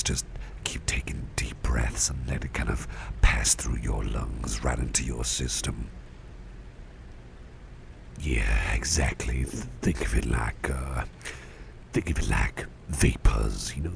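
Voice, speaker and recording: A man playing a caring, protective boss in an ASMR roleplay, speaking in a gentle, breathy near-whisper with reassuring, hesitant pauses. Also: full character voice. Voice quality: breathy near-whisper with heavy low creak. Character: caring, protective male boss tending to his employee. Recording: close recording with clear background noise.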